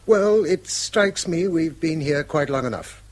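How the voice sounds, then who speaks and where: An actor playing a priggish, moralising English husband in a stiff, righteous radio drama manner. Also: male voice, a little high, animated, a little slow. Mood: stern, disapproving. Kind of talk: British radio drama acting.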